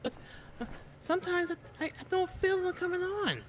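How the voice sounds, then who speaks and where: A woman's voice: very high voice, normal speed, dull audio, a little hiss or hum. A woman roleplaying a childlike, apologetic zombie survivor in an online game, meek and stammering. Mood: hesitant, confused.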